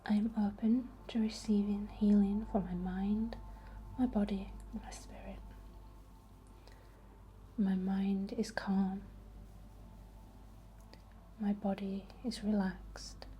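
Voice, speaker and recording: A woman speaking in a soft, breathy whisper, gently guiding a soothing, unhurried ASMR healing ritual. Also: medium pitch, flat delivery. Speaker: ASMR host. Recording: faint background noise.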